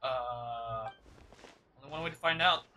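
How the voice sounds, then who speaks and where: A man streaming a game, reacting casually and musing aloud in a hesitant, halting way. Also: mid-range voice, sharp tone, slight background noise.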